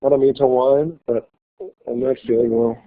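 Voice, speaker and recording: A male college instructor giving a classroom lecture with a slight cold, talking haltingly with many fillers and restarts. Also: mid-range voice, flat delivery. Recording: tinny phone-like lecture recording.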